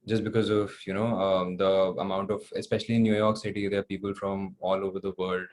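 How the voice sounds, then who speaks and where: A man's voice: deep voice, little pitch movement, brisk, a little mellow, a little hiss or hum. A young man from New Delhi with an Indian accent, giving casual, somewhat hesitant interview answers as he thinks aloud. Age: young adult. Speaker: guest, singer-songwriter.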